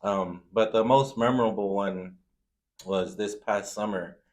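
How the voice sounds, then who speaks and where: A man's voice: lowish voice, a little slow. A man from Zambia in a casual interview, telling personal anecdotes in an informal, slangy way. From Zambia, moved to the US. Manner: informal, slangy, frequent "you know". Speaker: food truck owner, interview guest.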